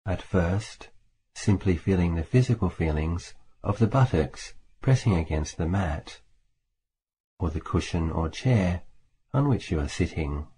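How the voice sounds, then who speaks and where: Male meditation teacher, low-pitched, mellow and relaxed tone, calm measured phrasing, long pauses, slight background hiss. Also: poor audio. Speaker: Buddhist monk, meditation teacher. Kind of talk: guided meditation.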